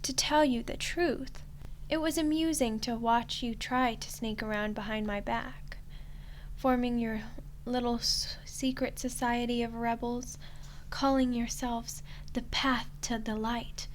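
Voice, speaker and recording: A woman playing a smug, teasing archdemon in a roleplay ASMR style, soft and condescending, with an unhurried delivery. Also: on the high side, steady tone, slight background noise, lightly acted, low-quality recording. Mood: coolly accusing. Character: smug, teasing female archdemon toying with a human ruler.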